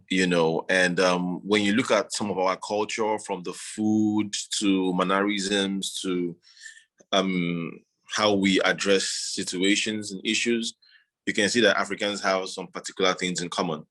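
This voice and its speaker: A man being interviewed, talking in a measured, reflective way with many "you know"s. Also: deep voice, a bit bright. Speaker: guest. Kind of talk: casual interview.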